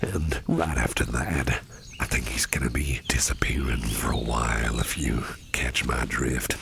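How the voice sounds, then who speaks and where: A man playing a protective, faintly sinister businessman boss in an ASMR roleplay, speaking in a soothing, unhurried, gravelly half-whisper. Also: rumbling low, fairly even intonation, noisy background, exaggerated voice. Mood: quietly menacing. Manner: soothing, unhurried, repeats reassurances. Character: sinister yet protective businessman boss, male, smooth-talking, doting.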